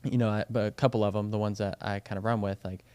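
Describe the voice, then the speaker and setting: A young man with a smooth, clear voice sitting a little low in pitch. He talks in loose, rambling podcast chat, leaning hard on "you know" and "like" as he works out his thoughts as a coach. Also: level tone, fast, darkish tone. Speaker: baseball and softball coach, podcast co-host.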